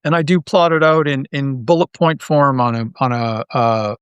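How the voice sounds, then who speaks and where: A man from Canada chatting casually as an interview guest, an animated storyteller who rambles and repeats his phrases. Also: medium pitch, moderate pace, clear, resonant tone, no room sound, lo-fi sound. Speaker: interview guest, audio drama writer.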